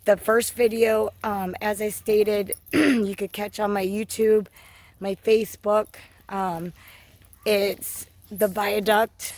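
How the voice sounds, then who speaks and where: Hoarse lady with a cold, vlogging on a woods trail into her phone, walking the dogs, lots of ums. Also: mid-range voice, flat delivery, slow pace, darkish tone, no room sound. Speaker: hiking vlogger. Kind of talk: casual walking vlog. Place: outdoors on a wooded trail.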